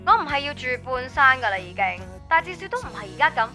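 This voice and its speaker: A woman's voice: high-pitched, sing-song, fast talker, bright, forceful tone, soft background music, full character voice. An actress playing a demanding, firm young girlfriend with a Hong Kong accent in a radio drama. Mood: firm, resigned. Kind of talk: Cantonese radio drama acting.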